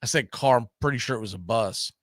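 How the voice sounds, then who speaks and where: A man's voice: medium pitch, fast talker, dry recording, studio quality. A man hosting a casual baseball talk show, conversational and often checking in with tag questions. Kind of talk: casual sports discussion.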